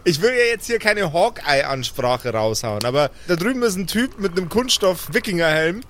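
A man's voice: sits high, big pitch swings, moderate pace, a bit bright, slight background noise. A man playing in an improvised tabletop role-play, speaking casual, slangy, sweary dialogue with emphasis.

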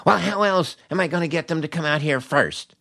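A man's voice: fairly high pitch, fairly quick, gravelly, pushed and bright, voice pushed up. A man voicing a frantic, naive and self-important female Hollywood assistant in a comic anecdote, flustered and argumentative. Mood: flustered, indignant.

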